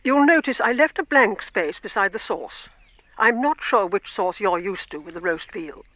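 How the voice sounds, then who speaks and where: A gaunt, older English housekeeper in a radio play. She speaks formally and with careful measure, in a middle-pitched voice. Her deference is cold and severe. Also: animated, fairly bright tone. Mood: icily deferential. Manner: formal, measured, icily polite. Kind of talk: radio drama acting.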